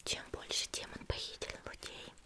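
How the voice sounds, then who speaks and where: A woman playing a petite, gentle and elegant female demon slayer in a whispered ASMR roleplay, soft, reassuring and unhurried. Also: lightly acted. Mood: calm, confiding.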